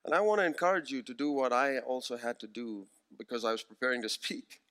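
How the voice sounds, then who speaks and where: A man in his forties preaching a sermon, earnest and emphatic, slowing down to repeat key phrases. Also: medium pitch, a bit bright. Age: 40s.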